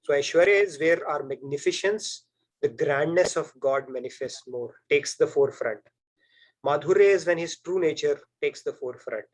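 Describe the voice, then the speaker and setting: A man's voice: fairly high pitch, normal speed, rough recording. Fluent man explaining on a call. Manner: fluent, explaining, asks "right?". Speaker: host. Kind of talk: explanatory talk on a video call. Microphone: video call.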